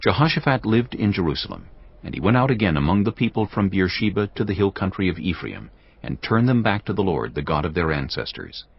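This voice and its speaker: A man narrating scripture in a measured, storytelling delivery. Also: deep voice, very animated, gravelly, husky. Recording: dull lo-fi with music bed.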